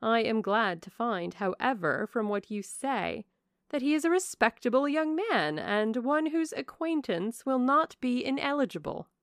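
A woman voicing a composed, good-humoured genteel mother in an audiobook of a classic novel, polite and measured. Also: fairly high pitch, lively intonation, no echo, clean studio sound, pitched above the performer's own voice.

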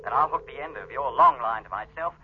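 A man acting a brisk, practical spaceship chief engineer who is quick to snap, in 1950s British radio drama style. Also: medium pitch, close to an everyday voice. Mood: careful, firm. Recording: dull, noisy 1950s radio recording.

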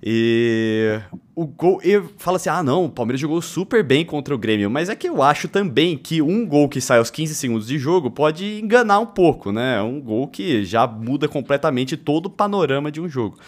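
A Brazilian man hosting a casual football podcast in a jokey way, with some theatrical flair. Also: medium pitch. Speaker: podcast host.

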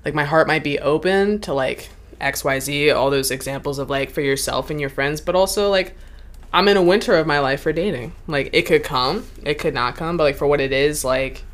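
A woman co-hosting a podcast in casual chat, animated and swearing freely, with a lot of "like". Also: sits low, fairly quick. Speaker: podcast co-host.

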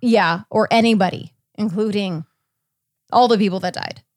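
A woman hosting a podcast in casual chat, recounting a case informally with a halting, filler-heavy delivery. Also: medium pitch, dry recording, studio quality. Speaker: podcast host.

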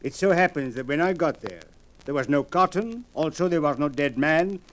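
An actor playing a stern, sceptical Egyptian police official in a radio drama, with formal, deliberate and courteous speech. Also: male voice, fairly high pitch, lively intonation, close to an everyday voice. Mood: sceptical, dry. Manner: formal, deliberate, interrogating, uncontracted phrasing. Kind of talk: 1940s radio drama acting.

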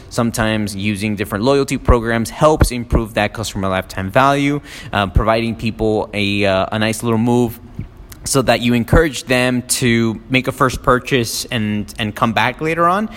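A man's voice: medium pitch, fairly bright tone, long reverb tail. A man from Miami talking alone as a podcast host, casual and rambling with many ums and restarts, recorded on a phone. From United States (Miami). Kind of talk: solo podcast monologue. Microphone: phone app.

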